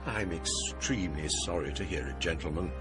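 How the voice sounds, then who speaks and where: An actor playing a genial, scholarly college provost who tells ghost stories, speaking in a courteous, measured way. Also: male voice, sits low, music in the background, close to an everyday voice. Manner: courteous, measured, theatrical storyteller. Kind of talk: radio drama acting.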